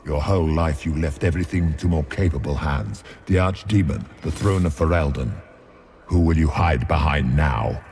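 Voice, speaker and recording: An actor playing a vast, ancient fear demon in a video game, taunting and grandiose in a deliberate way. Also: male voice, very deep voice, a little mellow, a little hiss or hum. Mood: mocking. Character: vast male fear demon, menacing, mocking, ancient, commanding. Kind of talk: dramatic video game villain acting.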